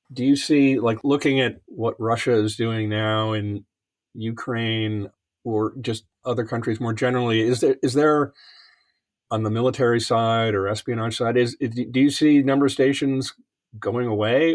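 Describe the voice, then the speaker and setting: A man's voice: medium pitch, steady tone, normal speed. Podcast host man with dry asides. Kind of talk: interview hosting.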